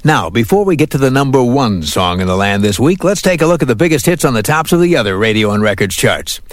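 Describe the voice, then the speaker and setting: A man hosting a weekly radio countdown show, reading scripted narration in a lively announcer style from a studio. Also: medium pitch, fast, no room sound. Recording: music playing behind. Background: music.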